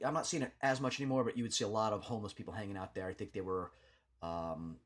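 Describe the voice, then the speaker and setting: A man's voice: lowish voice, fairly even intonation, fast, a bit bright. A man giving an unscripted, rambling local news commentary in a hesitant, matter-of-fact way, like a small-time vlogger talking through events.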